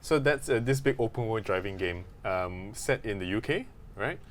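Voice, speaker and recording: Lively low-voiced man chatting casually. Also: normal speed. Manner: jokey, animated storytelling. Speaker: gaming podcast co-host. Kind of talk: casual chat about video games.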